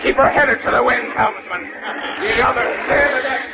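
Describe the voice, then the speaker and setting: A man's voice: very high voice, fairly even intonation, moderate pace, dull audio. An arrogant sea captain rules his ship in a raised, commanding voice. He speaks in archaic, theatrical phrases full of scorn and defiance. It is a noisy vintage radio drama. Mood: defiant. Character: arrogant, raging, blasphemous Dutch sea captain. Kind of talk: 1930s radio acting. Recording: noisy old radio recording.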